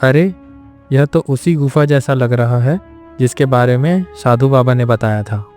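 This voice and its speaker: A man voicing a brave, polite hero with an earnest, deliberate manner in a cartoon moral story. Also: medium pitch, darkish tone, faint music behind, lightly acted. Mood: wondering. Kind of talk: animated moral story acting.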